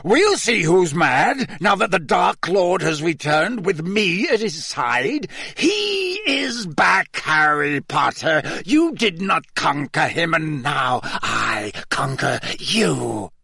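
A man voicing a fanatical, villainous dark wizard in an audiobook, gloating and ranting with drawn-out menace. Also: fairly low pitch, husky, no room sound, studio quality, voice pushed down. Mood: frenzied triumph.

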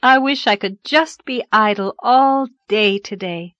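A woman voicing a sweet, excitable young schoolteacher in an audiobook story, earnest and given to breathless exclamations. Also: medium pitch, measured pace, dry recording, lo-fi sound, close to an everyday voice. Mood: dreamy. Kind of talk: audiobook character voice.

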